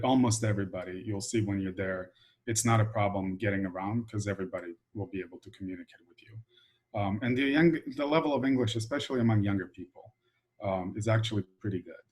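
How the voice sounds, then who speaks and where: A middle-aged man from Croatia, chatting in a relaxed, filler-heavy way as a guest at an info session. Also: lowish voice, husky. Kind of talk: casual informative talk.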